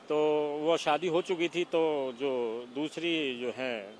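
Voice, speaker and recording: Halting Indian man, slightly noisy recording. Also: fairly high pitch. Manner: halting, mixes English words into Hindi. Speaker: police investigating officer, interviewee. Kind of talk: spoken interview answers.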